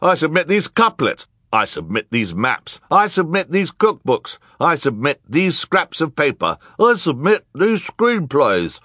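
A man's voice: fairly high pitch, huge pitch swings, measured pace, hardly any pauses, sharp tone, no room sound, studio quality, pitched above the performer's own voice, exaggerated voice. A man voicing eager, insistent courtroom witnesses in an audiobook, each proclaiming formally. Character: crowd of eager witnesses submitting evidence, exclamatory. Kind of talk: audiobook character acting.

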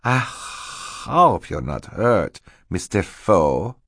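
A man's voice: deep voice, big pitch swings, very slow, fairly bright tone, slightly rough, dry recording, studio quality, heavily acted. A man narrating an audiobook, voicing a scheming villain who poses as a meek, fluttery, apologetic assistant. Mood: falsely distraught. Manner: hissing menace switching to fluttery, falsely apologetic politeness. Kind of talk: audiobook character acting.

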